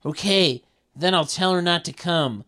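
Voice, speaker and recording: A man's voice: fairly high pitch, measured pace, a bit bright, voice pushed up. A man voicing a pushy, teasing sitcom teammate in an amateur table read.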